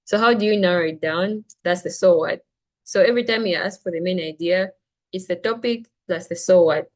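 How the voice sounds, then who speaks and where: A woman's voice: fairly low pitch, brisk. A young woman from Zambia teaching a casual reading class, chatty and full of "like" and "right?" as she explains. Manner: chatty, frequent "like" and "right?", restarts sentences. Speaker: SAT reading tutor.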